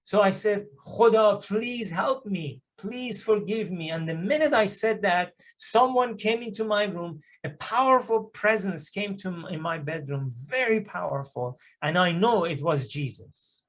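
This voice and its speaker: A man from Iran, a native Persian speaker, delivers a personal testimony in church. He speaks earnestly, pressing hard on key moments. His voice sits a little high for a man. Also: expressive, unhurried, hardly any pauses, a bit bright. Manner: earnest, emphatic storytelling with swells of intensity. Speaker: guest speaker giving testimony.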